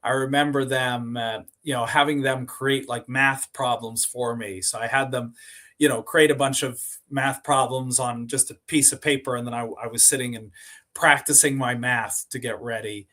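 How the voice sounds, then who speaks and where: A man from Sweden speaks as a guest on a podcast, sharing stories about his life in a loose, conversational way. He backs up and repeats himself, and he fills his pauses with "uh" and "you know." His pitch sits in a typical middle range. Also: steady tone, sharp tone. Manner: rambling, repeats and restarts words, many fillers. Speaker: podcast guest, company co-founder. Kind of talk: casual interview storytelling.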